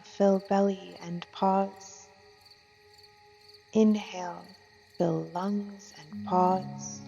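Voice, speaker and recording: A woman leading a guided meditation as a yoga teacher, gentle and soothing, with soft phrasing and long unhurried pauses. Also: medium pitch, creaky. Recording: muffled, long reverb, music and insect sounds.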